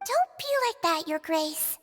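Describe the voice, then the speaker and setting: A woman's voice: very high-pitched, sing-song, a little slow, fairly bright tone, long reverb tail, faint music behind, exaggerated voice. A woman voicing a tiny, sweet, dutiful childlike nurse with formal, courteous speech in a video game. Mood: gently scolding.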